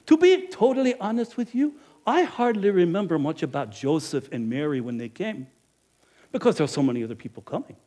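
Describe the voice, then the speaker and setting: A man acting out a middle-aged innkeeper in a dramatic first-person monologue, emphatic and animated, swinging between loud and hushed. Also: a little high, fairly quick. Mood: storytelling. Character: middle-aged male innkeeper, money-minded and guilt-ridden. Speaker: actor.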